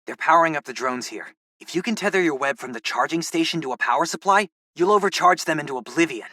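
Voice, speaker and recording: A man's voice: a little high, lively intonation, fast, pushed and bright, no echo, clean studio sound. A young American man voicing an eager, geeky teenage boy, a loyal tech sidekick in a video game, informal and earnest. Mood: casual, focused.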